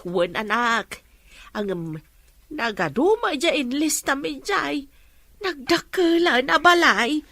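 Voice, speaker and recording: An actress playing an older, fretful but gentle village mother in an Ilocano radio drama, with an Ilocano accent. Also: high-pitched, expressive, slow, dry recording, exaggerated voice. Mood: mild, hesitant.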